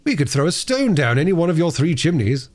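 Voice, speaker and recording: Man playing a genial, brisk country doctor. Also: fairly high pitch, big pitch swings, darkish tone, voice pushed up. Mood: teasing, brisk. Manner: hearty, direct.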